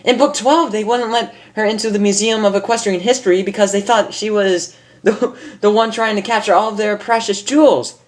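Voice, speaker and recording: A woman's voice: mid-range voice, fairly even intonation, brisk, voice pushed up, natural voice. A woman voicing a brash, impatient young female pegasus from a cartoon, blurting and hesitating as she goes. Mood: scheming, excited.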